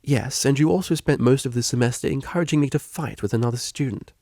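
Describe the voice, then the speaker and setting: A man's voice: mid-range voice, fast talker, darkish tone, no echo, studio quality, voice pushed up, natural voice. A man voicing a prim, guarded young female pony student with a formal, hesitant manner in a story reading. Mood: curt, guarded.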